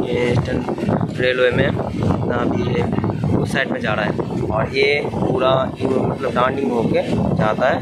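A man casually narrating a train trip vlog on a phone camera, chatty and excited, just woken up. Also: medium pitch. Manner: chatty, excited, lots of fillers. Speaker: travel vlogger. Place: on a train and railway platform. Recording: phone video with loud train noise. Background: loud train and station noise.

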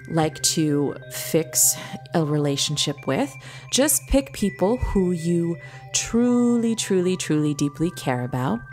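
A woman leading a guided visualization meditation in a gentle, soothing, instructive manner with long pauses. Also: fairly low pitch. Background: background music.

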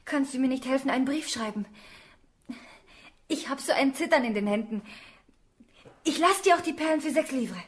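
A woman's voice: high-pitched, moderate pace, pauses often, lightly acted. A woman playing a willful, agitated young daughter in love in a German radio drama. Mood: pleading. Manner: agitated, pleading.

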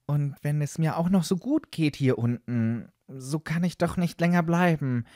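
A man's voice: fairly high pitch, moderate pace, warm tone, dry recording, clean studio sound, voice pushed up, close to an everyday voice. A man voicing a meek young girl, polite, hesitant and earnest, in a storybook reading. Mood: homesick, earnest.

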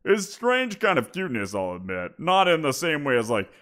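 A man's voice: a little high, sing-song, moderate pace, a bit bright. A man voicing a giant wolf creature in an audio roleplay, teasing and amused, chuckling as he talks. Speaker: voice actor.

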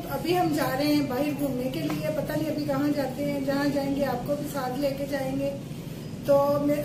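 High-voiced Pakistani woman vlogging outdoors. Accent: Pakistani Urdu. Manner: chatty, repeats requests to like and subscribe. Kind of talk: casual vlog talk to viewers. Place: outdoors by a brick wall. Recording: loud outdoor traffic noise.

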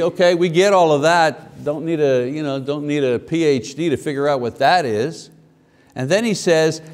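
Fifties male preacher, lively pulpit delivery with rhetorical questions, slightly high voice, long church reverb. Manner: animated, gesturing, asks the congregation questions. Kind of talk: sermon. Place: church sanctuary at a wooden pulpit. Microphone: lapel mic.

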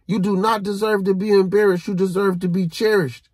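A man in his thirties rants at his phone from inside a car, preachy and animated, circling back to the same phrases in street slang. His voice sits high for a man and has a husky edge. The sound is close and dry. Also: brisk, dry recording. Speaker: vlogger. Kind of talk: casual rant to camera. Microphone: phone held low at arm's length.

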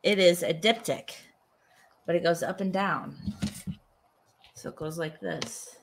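A woman's voice: on the low side, measured pace, slight background noise. Casual woman host on a video call. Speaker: host and artist. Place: cluttered indoor studio. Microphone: desk microphone on a boom, video call.

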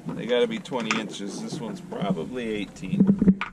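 A man's voice: fairly low pitch, fairly even intonation, moderate pace. A young man chatting casually while fishing from a small offshore boat, calling out each catch in a laid-back, matter-of-fact way, recorded on an action camera. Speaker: angler on a fishing vlog. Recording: loud wind and engine noise.

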